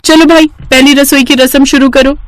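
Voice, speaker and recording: A woman's voice: sits high, flat delivery, moderate pace, dry recording, voice pushed up, natural voice. A woman voicing an affectionate, teasing elder sister who is a police inspector in a story.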